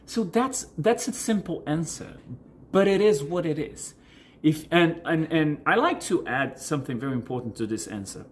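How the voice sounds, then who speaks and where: A man's voice: a little high, animated, moderate pace, a little hiss or hum. A man whose native language is Portuguese, speaking as a coach and video host in an informal explanatory style, repeating and rephrasing words for emphasis. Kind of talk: informal explanatory talk to camera answering questions.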